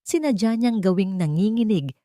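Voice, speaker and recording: A woman's voice: medium pitch, lively intonation, moderate pace, dark tone, no echo, studio quality. A woman with a Filipino accent narrating a dramatic scripted story.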